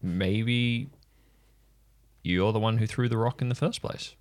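A man's voice: lowish voice, takes it slowly. A man playing a kind, thoughtful listener in an improvised audio drama, feeling out his words hesitantly. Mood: tentative, reassuring. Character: gentle counselling acquaintance, slightly awkward, thoughtful, kind.

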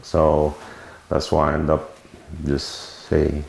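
A man's voice: extremely low pitch, monotone, slow pace, gravelly, a little mellow. A man, telling his story in a rambling, self-correcting way in an oral history interview at a winery. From Lebanon. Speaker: winemaker interviewee. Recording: roomy recording with faint noise.

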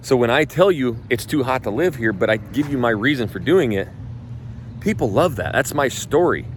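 A man's voice: medium pitch, expressive, moderate pace, slight background noise. A man from North Idaho who coaches real estate agents, talking casually in a blunt, emphatic way and swearing freely. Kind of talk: casual talk.

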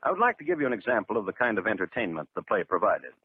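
A man narrating a scripted 1950s true crime radio drama in a dry, deadpan, measured manner. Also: fairly low pitch. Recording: noisy, narrow-band 1950s radio recording.

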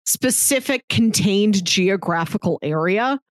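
A woman co-host speaks in a medium-pitched voice with very little room sound. She chats casually about films in articulate, measured phrases. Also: lively intonation, dry recording. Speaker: podcast co-host. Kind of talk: casual movie podcast chat.

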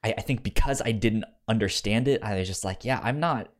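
A man hosting a podcast in casual chat, talking haltingly with repeated false starts. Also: fairly low pitch. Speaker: podcast host.

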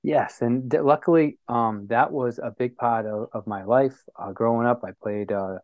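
A man from New Bedford, Massachusetts talks as an interview guest, recounting his past in a reflective, pause-filled way. His mid-pitched voice sounds dry and close to the mic. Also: no room sound, narrow, tinny audio.

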